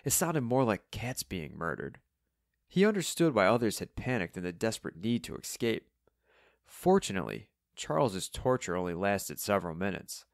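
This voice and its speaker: A man's voice: lowish voice, very animated, moderate pace, gravelly, no echo, clean studio sound. A man reading fiction as a scripted audiobook narrator.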